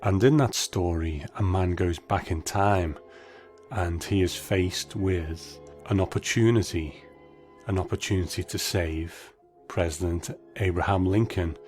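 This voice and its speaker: A man hosting a solo podcast with measured, reflective, conversational commentary. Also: sits low, darkish tone. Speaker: podcast host. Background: faint music.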